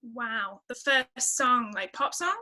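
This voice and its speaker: A woman's voice: a little high, takes it slowly, bright, forceful tone. A woman with a Northern English accent chatting casually and informally as an interview guest. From northern England. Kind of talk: casual chat.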